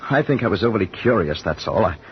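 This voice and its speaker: A courteous, inquisitive gentleman voiced by a man tells his tale with formal, even pacing. His low voice rises and falls expressively, and the old-time radio broadcast sounds dull and faintly hissy. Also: lightly acted. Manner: measured, formal storytelling. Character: curious, polite gentleman narrator on a ship. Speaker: narrator. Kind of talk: 1940s radio acting. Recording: muffled old radio recording with hiss.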